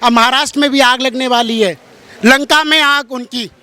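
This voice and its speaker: A man with a strikingly high, sharp and forceful voice holds forth as a religious interviewee. His delivery is preachy and emphatic, and he hammers points home by repeating his phrases. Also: steady tone, normal speed, smooth. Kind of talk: impassioned street-interview polemic.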